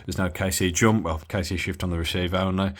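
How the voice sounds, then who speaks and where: Very low-voiced man, halting. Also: steady tone. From Midlands of England. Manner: halting, many fillers and restarts. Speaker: radio hobby vlogger. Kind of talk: casual hands-on demo.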